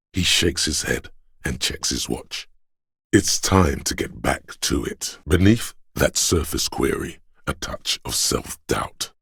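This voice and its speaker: A man playing a solemn inner voice that narrates a police video game in a measured, literary way, deep and gravelly. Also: no room sound, studio quality, full character voice. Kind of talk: literary second-person scripted narration.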